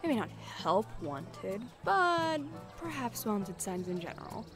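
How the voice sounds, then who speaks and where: A practical adventuring companion acted by a woman speaks at a middling pitch. She is dry and bossy, with music clearly behind her in an audio roleplay. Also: sing-song, takes it slowly.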